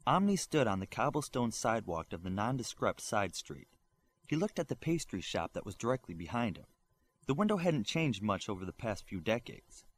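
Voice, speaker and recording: A man's voice: fairly low pitch, sing-song, moderate pace. A man reading a scripted science fiction story aloud as an audiobook narrator. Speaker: audiobook reader.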